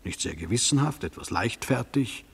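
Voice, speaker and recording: An actor in a radio drama playing a sceptical, worldly interrogator, measured, matter-of-fact and dismissive. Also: male voice, medium pitch, expressive, slight background noise. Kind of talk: German radio drama acting. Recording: long hall reverb, slightly husky sound.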